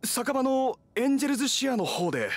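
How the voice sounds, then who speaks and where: An actor playing an earnest young guard knight in an anime game, hesitant, deferential and flustered. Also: male voice, very high voice, sing-song, brisk, faint music behind, heavily acted. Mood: flustered, grateful.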